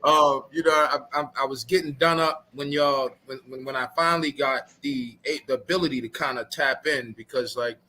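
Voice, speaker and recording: A man from Baltimore, an actor, joining an interview by video call from a film set, animated and joking in casual slang. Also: mid-range voice, normal speed, few pauses, poor audio. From Baltimore, USA. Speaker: actor guest.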